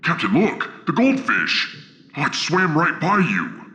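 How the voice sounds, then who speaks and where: A man playing a proud, boastful alien warrior sidekick in a sci-fi audio drama, with a gravelly voice and bombastic, earnest delivery. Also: fairly high pitch, wildly swooping pitch, takes it slowly, pushed and bright, pitched above the performer's own voice, full character voice. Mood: eager. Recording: echoey cave-like reverb.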